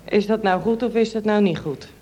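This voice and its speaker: A woman's voice: mid-range voice, brisk, mellow, a little hiss or hum. A woman putting casual questions and remarks as an audience member in a discussion.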